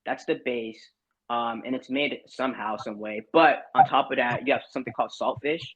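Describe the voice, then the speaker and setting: Relaxed young man from Barbados chatting. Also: mid-range voice, moderate pace, slightly rough, highs cut off. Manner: relaxed, rambling with many fillers. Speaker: podcast guest. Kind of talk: casual chat.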